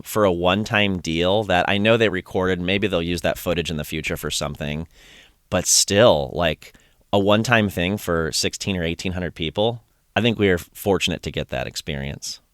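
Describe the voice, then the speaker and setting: A man's voice: low voice, fairly bright tone, smooth, dry recording, studio quality. A man hosting a podcast, chatting casually and enthusiastically with many restarts, his voice a bit strained from singing. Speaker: podcast host. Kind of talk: casual conversational recap.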